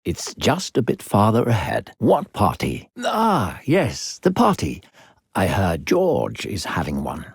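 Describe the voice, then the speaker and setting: A male voice actor playing a smooth-talking, secretly sinister video game narrator, theatrical, coaxing and condescending toward a child. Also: on the low side, wildly swooping pitch, takes it slowly, no echo, clean studio sound, heavily acted. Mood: patronizing, persuasive.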